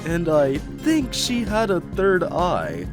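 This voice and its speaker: A laid-back, dreamy male yak drifts through his story in a drawl, as a man acts him out. His voice sits a bit high and swings widely up and down. Music plays clearly behind him. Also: wildly swooping pitch, slow pace, heavily acted. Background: background music.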